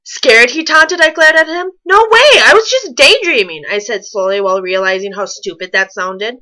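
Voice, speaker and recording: Female amateur reader, high and very bright voice, informal narration with casual asides. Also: animated, normal speed. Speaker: reader and co-commentator of fan fiction. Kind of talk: storybook-style reading mixed with casual mocking commentary.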